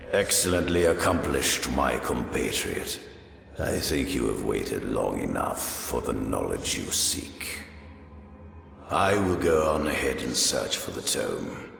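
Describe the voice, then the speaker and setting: A man voicing an ancient, sly undead curator in a fantasy game, with a deep gravelly voice and a formal, theatrical way of savoring words. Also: a bit bright, slight background noise, exaggerated voice. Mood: triumphant. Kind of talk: fantasy game character acting. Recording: echoey hall reverb, faint music behind.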